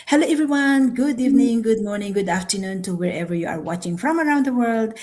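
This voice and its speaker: A woman's voice: a little high, animated, fast, darkish tone. An older woman introduces herself as a guest on the panel. She greets the audience cheerfully and formally. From Philippines. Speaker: panel guest, retired government employee.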